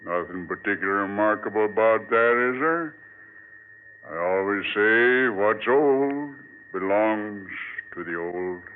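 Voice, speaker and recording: A man acts out an aged, enigmatic drifter in a vintage radio play, heard through a thin, tinny recording. He talks slowly and deliberately in a lowish voice, sly and cryptic, giving little away. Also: a little hiss or hum, lightly acted. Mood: sly, knowing. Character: mysterious, cryptic aged male hitchhiker, sly and unhurried. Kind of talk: 1940s radio drama acting.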